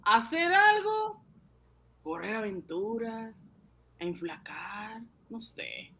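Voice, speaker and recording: A man acting a simple, excitable, poor ice-cream vendor and husband in a radio serial, with folksy, naive exclamations. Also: sits high, very slow, slightly rough, full character voice. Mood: hesitant.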